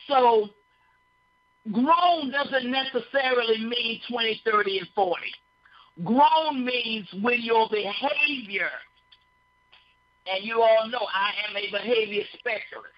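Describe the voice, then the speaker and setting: A woman giving a conversational sermon on a broadcast, folksy and full of repeated phrases, often checking in with listeners. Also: on the high side. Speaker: radio speaker on behavior. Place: radio studio. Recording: dull lo-fi broadcast sound.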